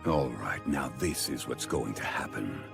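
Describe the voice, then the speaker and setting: A contemptuous alien overlord from an anime. The delivery is slow and gravelly, full of haughty menace. Loud music plays over the voice. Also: male voice, very deep voice, lively intonation, full character voice. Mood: cold menace. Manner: slow, arrogant, threatening delivery. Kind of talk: anime dub voice acting.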